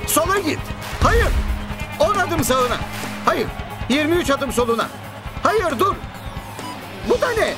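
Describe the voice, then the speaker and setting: A man playing an excitable, easily flustered cartoon character who barks out directions. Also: very high voice, a little slow, pauses often, loud music behind the voice, exaggerated voice. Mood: urgent, baffled.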